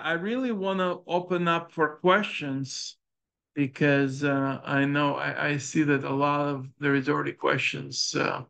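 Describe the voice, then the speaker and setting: A middle-aged man with a Ukrainian accent giving a startup presentation, halting and full of fillers and restarts. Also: on the high side, creaky, bright, forceful tone, smooth. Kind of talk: conference presentation with audience questions.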